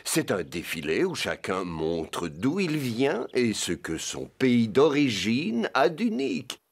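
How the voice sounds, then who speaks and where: A man's voice: mid-range voice, wildly swooping pitch, takes it slowly, vocal fry, full character voice. A man voicing a wise, patient collie dog who explains things in a French cartoon dub. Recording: music behind.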